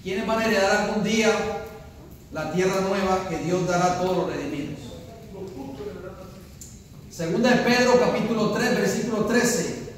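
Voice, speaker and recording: High-voiced preacher in an echoey hall. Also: male voice, normal speed, long pauses, recorded from a distance. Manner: preaching with rising emphasis, asks the congregation questions. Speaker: pastor. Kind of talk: sermon.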